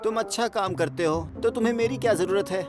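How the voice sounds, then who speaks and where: A woman voicing a boastful, excitable young male diesel train in a children's cartoon, with a dramatic, exclaiming manner. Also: medium pitch, fast, music in the background, close to an everyday voice. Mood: sulky. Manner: dramatic, exclaiming, self-important. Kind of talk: animated children's cartoon dub in Hindi.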